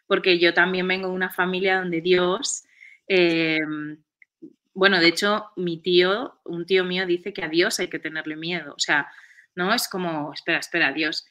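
A woman in her forties with a somewhat low voice, calmly explaining spiritual ideas. She slows down to weigh her words and speaks over a webcam from a room at home. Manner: calm, explanatory, slows down for key points. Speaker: guest. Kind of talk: reflective spiritual conversation. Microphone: video call webcam.

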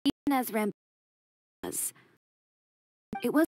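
A woman's voice: fairly high pitch, wildly swooping pitch, slow, darkish tone, no room sound, full character voice. A woman playing a blunt, self-assured young fashion designer in an anime game, speaking in a composed, matter-of-fact manner. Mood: reflective, frank.

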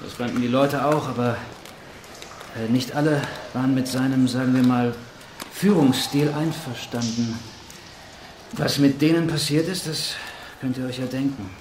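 An actor playing a weary, sardonic survivor in a radio drama, wry and matter-of-fact. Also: male voice, medium pitch, steady tone, normal speed, darkish tone, natural voice. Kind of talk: German radio drama acting. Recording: echoey with noisy ambience.

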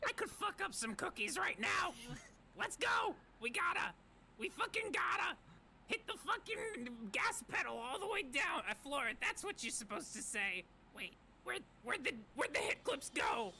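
A man's voice: very high-pitched, very animated, normal speed, vocal fry, sharp tone, a little hiss or hum, heavily acted. A man voicing a brash, grabby, foul-mouthed cartoon troublemaker in an improvised comedy fan dub. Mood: fired up. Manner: brash, swearing.

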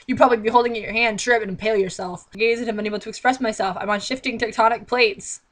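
Young female vlogger, fairly high pitch, theatrical and exasperated, reading aloud with mocking commentary. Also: steady tone, rapid-fire. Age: young adult. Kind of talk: mocking read-aloud with sarcastic running commentary. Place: small indoor bedroom.

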